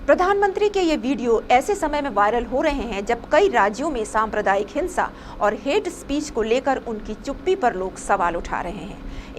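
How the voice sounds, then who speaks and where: A woman reading a scripted news report as a presenter, with some noise behind her. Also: a little high.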